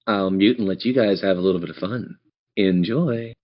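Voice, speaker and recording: A man's voice: fairly low pitch, normal speed. A man working as game master, improvising narration for a tabletop game over slightly muffled audio.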